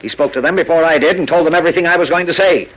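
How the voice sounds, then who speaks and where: An actor playing a middle-aged, blustering and flustered city mayor and newspaper chief in 1940s radio style. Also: male voice, a little high, very fast, fairly bright tone, low-quality recording. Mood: dejected, bitter. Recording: old radio recording with faint noise.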